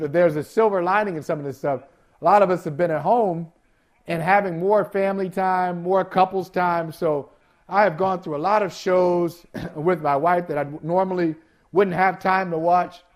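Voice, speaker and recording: A man co-hosting an interview, chatty and asking long, winding questions. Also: high voice. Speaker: co-host. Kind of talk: casual interview talk.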